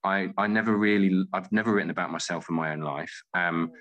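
A man's voice: sits low, fairly quick, fairly bright tone, highs cut off. A British man in his forties answering interview questions in a reflective, earnest and articulate way, thinking aloud as he goes. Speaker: interview guest, playwright and theatre company director.

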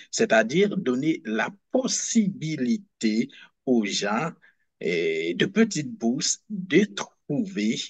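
A man talks slowly over a headset with a husky voice. His pitch rises and falls in a lively way as he builds his points deliberately and repeats words while he finds his next phrase. The sound is dry and close. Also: no echo. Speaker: guest, book fair director. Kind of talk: conversational interview answer.

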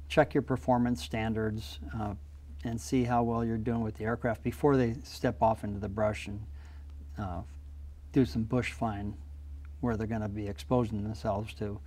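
A man's voice: fairly low pitch, a little mellow. An older man, a pilot, explaining flying practice in a plainspoken, practical way in a small TV studio interview on a lapel mic. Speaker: guest pilot.

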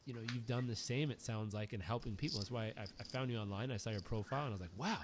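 A man's voice: medium pitch, very fast. A man with a mid-range voice hosting a podcast, chatting with enthusiasm and drifting into long anecdotes. He often stumbles and repeats a word before getting going. A faint hiss sits under his voice. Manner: enthusiastic, rambling, repeats words when starting. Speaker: podcast host. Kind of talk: casual interview chat, storytelling.

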